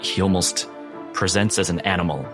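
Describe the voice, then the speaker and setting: A low-pitched man runs a tabletop horror game as narrator. He sets each scene deliberately and ominously, with music clearly playing beneath his voice. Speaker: game master.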